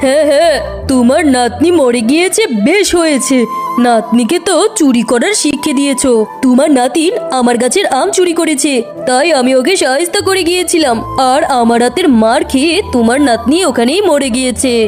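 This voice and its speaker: A woman's voice: sits high, brisk, a little mellow, soft background music, close to an everyday voice. A woman playing a cruel, haughty tigress wife in a cartoon, scolding and threatening in an exclamatory way. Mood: gloating, threatening. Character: cruel, haughty tigress wife guarding her mango tree. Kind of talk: dramatic cartoon voice acting.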